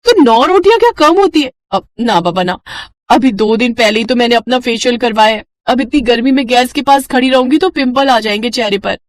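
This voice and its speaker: A woman plays an excitable young daughter in a moral story cartoon. She speaks high and dramatically, pouting in slangy bursts, in a dry recording. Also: a little fast, no echo, close to an everyday voice. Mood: whiny, complaining. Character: whiny, spoiled, excitable young daughter dreaming of mountains. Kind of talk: animated Hindi moral story dialogue.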